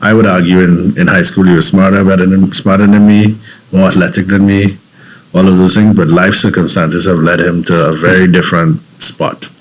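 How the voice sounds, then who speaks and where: A man's voice: low voice, level tone, moderate pace, low-quality recording. A man from Trinidad and Tobago with a Trinidadian accent, a guest telling his story in an interview, reflective and earnest with frequent restarts.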